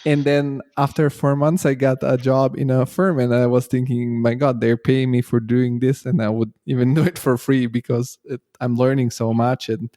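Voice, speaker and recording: Flat, mellow young man. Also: mid-range voice, moderate pace, few pauses, no echo, clean studio sound. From Bulgaria, raised in Italy. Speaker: podcast host. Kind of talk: podcast interview.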